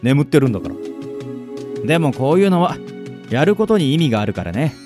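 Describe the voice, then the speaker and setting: 男性が演じる表情豊かな人工知能の世話役. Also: male voice, on the high side, big pitch swings, a little fast. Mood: casual, chiding. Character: long-lived male artificial caretaker, calm and devoted. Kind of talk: radio drama acting. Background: music.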